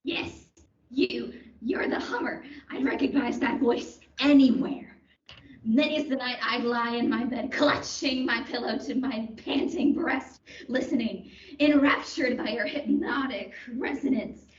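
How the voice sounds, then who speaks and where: A roomy, distant recording of a crazed self-confessed killer played by a young woman. The voice is rather high and wildly melodramatic, full of boastful menace in comic radio-play style. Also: unhurried. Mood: swooning. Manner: melodramatic, theatrical. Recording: dull, roomy, distant microphone.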